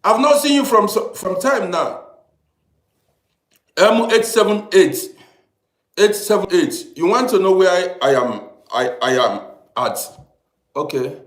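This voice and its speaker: A man's voice: sits high, lively intonation, a little slow, long pauses, echoey room. A man from Africa, hosting a casual livestream, chatting and greeting viewers by name, repeating himself as he talks. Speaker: livestream host. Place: lives in Europe.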